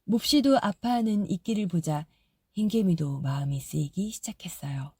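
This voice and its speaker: Gentle woman narrating a storybook. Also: fairly low pitch, normal speed, breathy edge, dry recording, clean studio sound. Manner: soft, gentle, expressive storytelling. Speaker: audiobook narrator.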